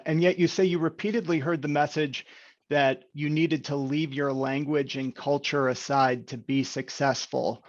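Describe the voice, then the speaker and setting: A man moderating a panel over a video call, asking careful, measured questions. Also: medium pitch, dull audio.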